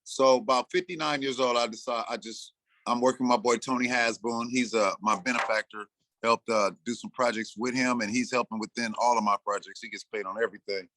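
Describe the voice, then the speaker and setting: Man in his sixties, casual interview talk, animated, bright tone, dry recording. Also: medium pitch, a little fast, no room sound, poor audio. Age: 60s. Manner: loose, animated storyteller who acts out his own lines. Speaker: interview guest, rapper and producer.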